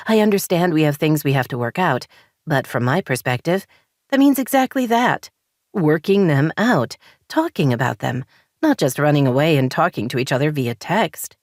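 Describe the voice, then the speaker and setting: A woman's voice: sits low, dry recording, studio quality. A woman voicing a hurt, frustrated married woman who presses her points insistently. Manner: heated, insistent.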